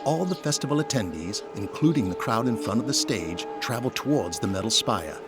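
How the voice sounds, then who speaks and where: A man's voice: mid-range voice, lively intonation, a little fast. A man narrating an audio drama in a scripted, dramatic style. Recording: loud music behind the voice.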